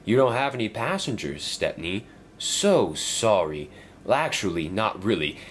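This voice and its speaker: A man's voice: fairly low pitch, very animated, a little slow, a little hiss or hum. A man doing an oily, sneering diesel engine. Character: rude scheming male diesel engine.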